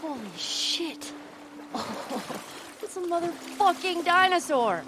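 A woman playing a teasing, excitable, foul-mouthed teenage girl in a naturalistic video game performance, casual and playful. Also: very high-pitched, slow pace, noisy background, full character voice. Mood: thrilled.

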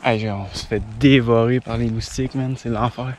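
A man's voice: mid-range voice, steady tone, normal speed, darkish tone, slight background noise. A young man with a Québécois French accent, talking casually and using slang while exploring outdoors. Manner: slangy, laid-back, matter-of-fact. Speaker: urban explorer. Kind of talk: casual outdoor vlog chat.

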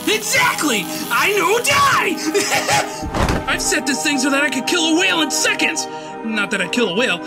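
Deranged, self-important young man, acted out, theatrical comic-dub rants, high and wildly animated. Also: normal speed, loud music behind the voice. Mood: manic, defiant. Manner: theatrical ranting, bursts into shouts. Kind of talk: dramatic comic dub voice acting.

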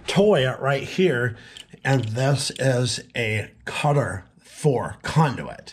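Easygoing man in his thirties, fishing vlogger, unhurried delivery, faint hiss behind. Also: mid-range voice. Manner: slow, easygoing, explaining step by step. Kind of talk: casual vlog talk to camera. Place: outdoors by a pond and in a small wooden shop shed. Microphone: camera-mounted mic.

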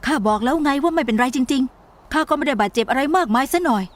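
A woman's voice: sits high, quick pace, close to an everyday voice. A woman voicing an earnest, easily flustered young teenage boy apprentice in a Thai anime dub. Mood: reassuring. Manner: earnest, boyish, protesting. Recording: voice over background music.

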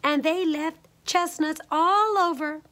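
A woman's voice: very high-pitched, takes it slowly, a bit bright, pitched above the performer's own voice, heavily acted. A woman voicing a stern, motherly middle-sized mama bear in a storybook, speaking emphatically. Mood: indignant. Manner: emphatic, scolding.

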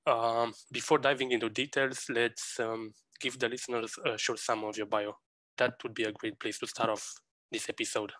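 A man hosts his podcast, reading a tidy introduction before turning to his guest. His voice sits at a middle pitch. The recording is dry and close, with no room sound. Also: little pitch movement, normal speed, fairly bright tone, no echo, rough recording. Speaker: podcast host. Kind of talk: scripted intro, then interview questions.

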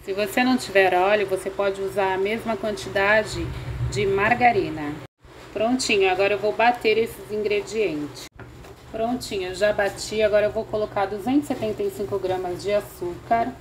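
A woman's voice: medium pitch, moderate pace, noisy background. Woman narrating a home recipe. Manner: friendly, uses many diminutives. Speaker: cooking channel host.

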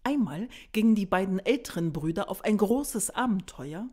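A woman storyteller narrating a fairy tale expressively, unhurried and dramatic, at times hushed. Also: mid-range voice, lively intonation. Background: piano music.

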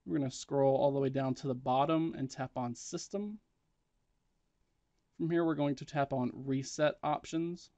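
A man's voice: medium pitch, moderate pace, mellow, no room sound. A man presenting a step-by-step tech tutorial in a plain, matter-of-fact instructional way.

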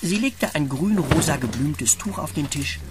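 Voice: mid-range voice, expressive, normal speed, very noisy. A narrator reading scripted storybook narration in a children's radio play.

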